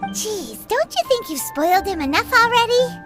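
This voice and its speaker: A tiny, cheeky fairy sidekick in a video game dub. The voice is extremely high, squeaky and childlike, swinging excitably up and down. Music plays prominently underneath. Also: female voice, normal speed. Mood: cheeky, scolding. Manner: childlike, refers to herself by name. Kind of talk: English game dub acting.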